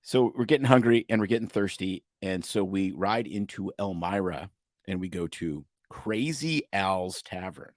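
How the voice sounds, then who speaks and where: A man's voice: low voice, normal speed, no room sound, clean studio sound. A man from Canada co-hosting a podcast, telling a story in casual, comedic chat and slipping into mock ad-announcer bits. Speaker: podcast co-host.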